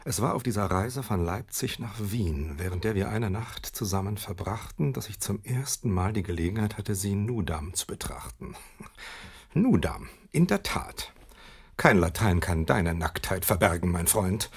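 An actor playing an arrogant, sharp-witted male detective in a German radio play, muttering precisely to himself. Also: on the low side, expressive, a little slow, gravelly, slightly rough, full character voice. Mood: appalled.

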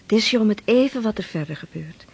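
A woman acting in a historical radio play as a resigned, sorrowful seafarer's wife, plaintive and tender in a hushed voice. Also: medium pitch, expressive, fast talker, vocal fry, breathy edge. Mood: sad, resigned.

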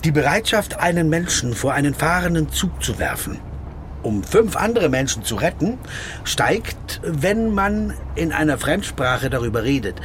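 An actor playing a wordy, brooding legal counsel who muses pedantically to himself in a literary radio play. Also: male voice, fairly high pitch, big pitch swings, unhurried, a little hiss or hum. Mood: reflective. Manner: musing, pedantic about words, addressing himself.

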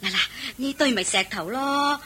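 A devout, practical village mother for a radio play. Her voice is a little high and forceful, with a rough husk. She speaks respectfully and with earnest persistence. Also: normal speed, heavily acted. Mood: earnest, weary. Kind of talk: Cantonese radio drama acting.

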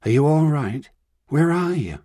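A man reading an audiobook, voicing a calm, composed older woman and grandmother who talks in a measured, reassuring way. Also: a little high, no echo, clean studio sound, pitched above the performer's own voice. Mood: calm, firm.

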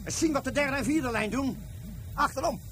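Casting: bossy, excitable squadron leader, very high-pitched, clipped sci-fi radio commands, music underneath. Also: male voice, moderate pace. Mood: urgent, excited. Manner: urgent, clipped commands and running report. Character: excitable, bossy male leader of a flying raid. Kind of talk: Dutch science-fiction radio play acting.

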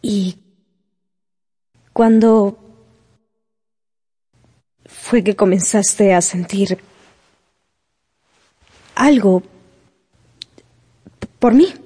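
An actress playing an earnest, candid young woman in love in a Spanish-language radio drama, with deliberate delivery. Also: medium pitch, warm tone, slightly rough. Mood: tender, curious.

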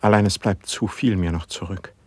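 Earnest brooding poet in classic radio verse play, low raspy voice, solemn and fervent. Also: male voice, steady tone, normal speed, a little mellow, dry recording. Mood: humble, pleading. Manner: solemn, fervent, formal declamation. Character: earnest, brooding male poet, humble yet insistent. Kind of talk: classic German verse radio drama acting.

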